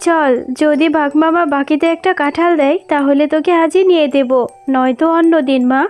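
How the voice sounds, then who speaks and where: A woman voicing a poor, gentle mother fox in a cartoon, speaking in an earnest, motherly way. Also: sits high, steady tone, a little fast, close to an everyday voice. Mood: worried. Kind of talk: cartoon voice acting.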